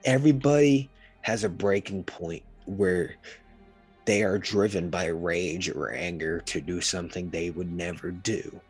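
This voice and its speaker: A man hosting a casual podcast, hoarse from a sore throat and talking in an earnest, rambling way. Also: low voice, unhurried, soft background music. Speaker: podcast host.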